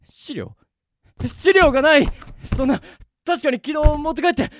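An actor playing a flustered young office worker in a radio drama, blunt and casual, muttering and exclaiming. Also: male voice, extremely high pitch, normal speed, fairly bright tone, slight background noise. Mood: panicked. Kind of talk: Japanese radio drama acting.

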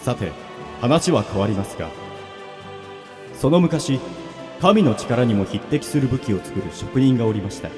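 A man's voice: medium pitch, expressive, a little slow, long pauses. A man playing a dignified, formal king in a comedic radio drama, with heavy reverb on the voice. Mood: solemn. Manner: formal, stately. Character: dignified male king addressing heroes by letter. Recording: reverberant, music behind.

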